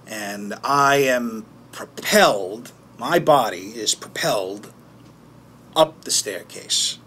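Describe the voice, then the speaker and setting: A man playing a haunted, bitter man who recounts childhood trauma in a halting, foul-mouthed documentary-interview monologue. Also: medium pitch, fairly bright tone, slight background noise. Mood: agitated, haunted. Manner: halting, foul-mouthed, with pauses and emphatic bursts. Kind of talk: naturalistic dramatic monologue in interview form.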